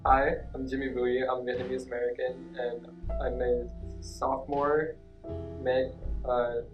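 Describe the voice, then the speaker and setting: A young man, a college sophomore, speaking plainly and a bit haltingly in an interview. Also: mid-range voice, little pitch movement, lo-fi sound, over music. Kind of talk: personal interview.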